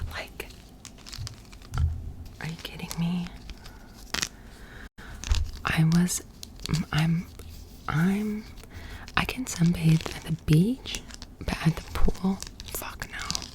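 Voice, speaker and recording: A woman with an American accent speaks in a low, hushed whisper. She chats casually and informally, as in a soft-spoken ASMR roleplay. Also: steady tone, drawn-out words, long pauses, poor audio. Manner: casual, chatty, informal with swearing. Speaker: ASMR creator.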